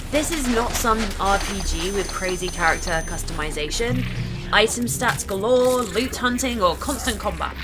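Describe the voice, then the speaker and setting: A woman narrating a gameplay video as a developer, scripted with wry, playful asides, over loud game music. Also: medium pitch, moderate pace.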